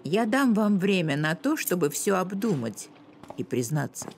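A woman's voice: lowish voice, sing-song, measured pace, gravelly, darkish tone, heavily acted. A woman playing a self-important, suspicious amateur detective and writer in a cartoon, pompous and theatrical as she interrogates. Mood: accusing. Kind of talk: animated cartoon acting with narration. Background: music.

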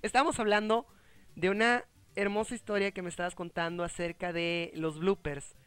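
A man in his thirties with a Mexican accent, chatting casually and excitably as a gaming show host, joking and repeating his phrases. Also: high voice, moderate pace, clear, resonant tone, no echo. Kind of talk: casual chat over gameplay.

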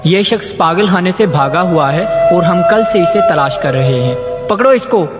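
A man plays a stern asylum chief in a radio play. His fairly high voice is formal and commanding, with loud music behind it. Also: expressive, normal speed. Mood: officious. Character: stern, officious male asylum doctor in charge. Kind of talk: radio drama acting.

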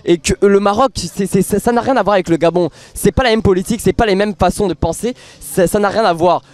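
Young man from Morocco, high-pitched and heated, dry close handheld mic. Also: quick pace, no echo. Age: young adult. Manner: passionate, stumbling restarts. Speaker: interviewee. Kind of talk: street interview statement. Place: city street at night, outdoors. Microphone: handheld reporter mic.

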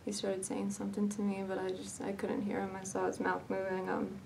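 A woman performing a dramatic monologue as a fragile, haunted young woman, speaking haltingly with hesitant pauses and repetitions. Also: mid-range voice, monotone, gravelly, darkish tone, distant mic, slight background noise. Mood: numb, grieving.

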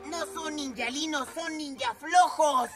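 A woman's voice: high voice, a little slow. A woman voicing a haughty, possessive young girl villain in a cartoon dub, scornful in manner. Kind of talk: animated cartoon dub acting. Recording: loud music behind the voice.

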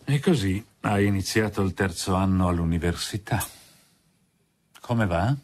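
A man's voice: sits low, unhurried, a little mellow, slight background noise. An actor playing a stern, possessive middle-aged lawyer father in an Italian radio drama, curt and authoritarian. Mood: cool, probing.

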